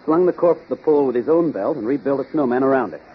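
Male actor as self-assured detective, American accent, articulate, expressive melody, vintage radio drama, dull old recording. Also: mid-range voice, fast, slight background noise, natural voice. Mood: keen deduction. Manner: articulate, deductive, takes charge. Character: keen, self-assured gentleman detective, inspector's son. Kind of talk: 1930s-40s American radio acting.

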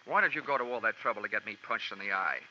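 A man's voice: on the low side, lively intonation, rapid-fire, pushed and bright, slight background noise. An American man playing a cynical, wisecracking insurance investigator in hardboiled 1940s radio style, with clipped, sardonic delivery. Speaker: lead actor and narrator of a detective radio series. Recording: muffled vintage radio recording, music.

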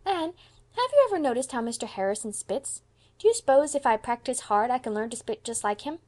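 A woman's voice: fairly high pitch, sing-song, brisk, darkish tone, pitched above the performer's own voice. A woman voicing a small mischievous boy in an audiobook, earnest, guilty and blunt, with childish, emphatic grammar slips. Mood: eager. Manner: childish ungrammatical speech, emphatic. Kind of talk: audiobook character voice.

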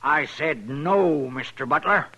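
Elderly horse breeder, fairly high bright voice, formal, proud, reserved, vintage western radio. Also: male voice, animated, takes it slowly, heavily acted. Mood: affronted. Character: dignified old grandfather horse breeder, stubborn, affronted. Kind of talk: old-time radio western acting. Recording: noisy old radio recording.

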